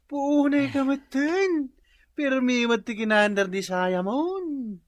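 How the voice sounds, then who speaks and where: A man's voice: very high voice, expressive, slow, dry recording, full character voice. A man acting a hard-drinking, boastful husband and father in a radio comedy drama, slurring and grunting as he swaggers through his lines. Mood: boastful, teasing.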